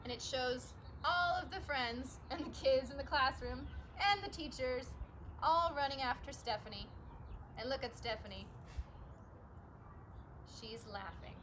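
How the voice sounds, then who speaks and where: A woman reading a picture book aloud as a teacher, expressive and emphatic, speaking directly to young children. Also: high-pitched, unhurried, slight background noise. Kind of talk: animated storybook read-aloud with encouraging asides to children.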